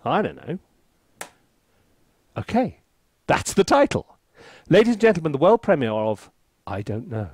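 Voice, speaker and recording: A man chatting casually as he hosts a software review, with an animated, theatrical and self-mocking manner. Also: on the high side, a little slow, husky. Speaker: composer and reviewer, video host.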